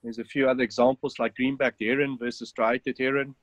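A man's voice: mid-range voice, flat delivery, fairly quick, no room sound. A man explaining step by step how to set up a phone app in an online webinar, with frequent ums. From South Africa. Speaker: app developer giving a demonstration. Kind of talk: webinar walkthrough. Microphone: online call.

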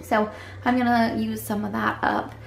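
A woman in her thirties chatting casually to a handheld camera in her home kitchen, relaxed and thinking aloud. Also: fairly high pitch, normal speed, vocal fry. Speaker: homemaking vlogger. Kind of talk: casual vlog chat to camera. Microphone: handheld camera at arm's length. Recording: echoey kitchen with faint music.

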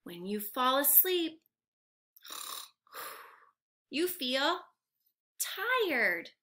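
A young woman reading aloud to small children like a teacher, asking simple questions in an animated, carefully enunciated way. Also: high-pitched, extremely slow. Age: young adult. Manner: animated, exaggerated, enunciated for little learners. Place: living room at home, indoors.

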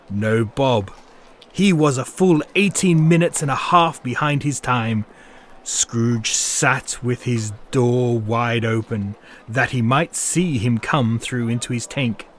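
Narrator: man, medium pitch, dramatised storybook delivery, slight background ambience. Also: measured pace, a little hiss or hum.

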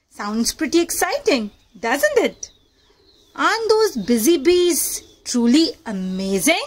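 A woman narrating a children's read-aloud book in a teacherly manner, addressing young listeners directly. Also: high-pitched.

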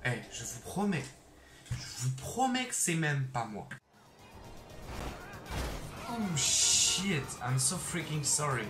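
A young man chatting casually over his gameplay on a headset mic, excitable and throwing in English exclamations. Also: on the high side, slow, long pauses. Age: young adult. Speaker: gaming YouTuber. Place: bedroom. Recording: roomy sound with game music behind.

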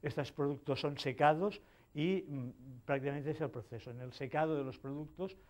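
Male conference presenter from Spain, halting delivery full of fillers, slightly raised pitch, mellow tone. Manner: hesitant, many fillers and restarts. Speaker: conference speaker. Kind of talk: technical conference talk. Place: conference hall.

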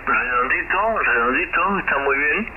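A man's voice: on the high side, lively intonation, unhurried. A man, chatting casually as an amateur radio operator over shortwave. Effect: shortwave radio transmission. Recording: muffled shortwave radio with loud static.

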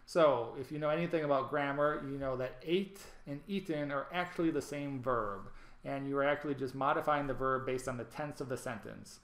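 A man's voice: mid-range voice, fairly quick, a bit bright, roomy sound. A man presenting an online training, explaining things over slides with frequent ums and restarts. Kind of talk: recorded lecture over slides.

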